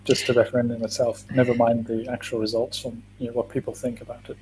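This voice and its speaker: A man joins an online community call with short, relaxed remarks, speaking quickly. A faint hum sits under his voice. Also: mid-range voice, low-quality recording. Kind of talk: casual online chat.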